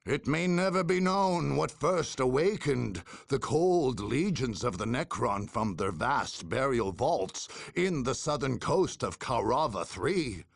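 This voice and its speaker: A man narrating a game as a grave, deliberate, portentous chronicler of war. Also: mid-range voice, lively intonation, vocal fry, no echo, studio quality, heavily acted. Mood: grave, ominous. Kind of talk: dramatic scripted narration.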